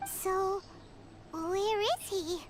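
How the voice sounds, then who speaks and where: A woman voicing a small, excitable childlike fairy companion in a video game, with a squeaky, eager delivery. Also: drawn-out words, noisy background, exaggerated voice. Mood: curious. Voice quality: squeaky, piping. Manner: exclamatory, eager. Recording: loud game music behind.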